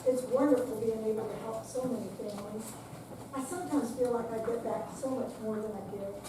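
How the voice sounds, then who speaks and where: An amateur reader as a high-voiced, kindly retired woman. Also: fairly even intonation, brisk, lightly acted. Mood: cheerful. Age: older. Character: cheerful, kindly retired woman, supportive naive friend. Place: senior center, recorded from afar. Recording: far-off echoey room, clear background noise.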